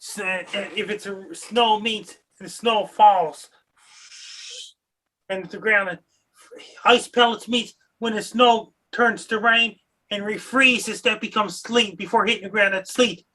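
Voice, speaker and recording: A man with a high, bright and forceful voice talks through weather in simple terms. His phrasing is halting and repetitive, with an earnest delivery. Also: steady tone, rough recording. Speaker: weather show contributor. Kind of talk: simple explanatory weather talk.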